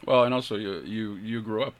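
A man hosting a radio show, speaking haltingly with many "uh" fillers. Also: on the low side, steady tone. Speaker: radio host. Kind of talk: casual radio interview. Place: radio studio.

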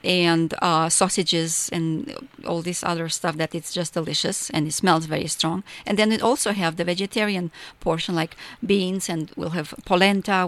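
A woman's voice: lowish voice, a bit bright. A woman from Romania with a Romanian accent, a chatty, enthusiastic guest in a radio studio interview who laughs often. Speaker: festival organizer guest.

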